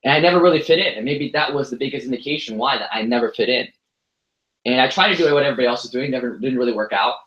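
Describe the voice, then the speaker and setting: Bright-voiced young man, joking. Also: mid-range voice, racing, highs cut off. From United States. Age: 20s. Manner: joking, self-deprecating storytelling. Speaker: motivational speaker. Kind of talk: motivational speech to an audience. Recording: echoey room sound.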